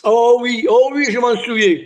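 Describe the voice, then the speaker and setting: An older man born in Italy, Italian his first language, chats as an interview guest. His voice sits high, and he wanders through anecdotes with false starts and little "hein" tags. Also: steady tone, moderate pace. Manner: chatty storyteller, many restarts and "hein". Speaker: singer and entertainer, guest. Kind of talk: casual interview chat.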